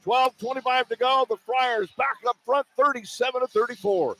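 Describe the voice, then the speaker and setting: A man's voice: very high-pitched, moderate pace. A man working as a radio play-by-play announcer, calling a live basketball game from an indoor arena. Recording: clear arena ambience noise. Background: arena ambience.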